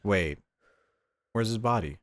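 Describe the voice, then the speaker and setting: Streamer: man with a Mexican accent, sarcastic and self-mocking, low-ish voice. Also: expressive, takes it slowly, dry recording. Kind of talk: casual gameplay commentary.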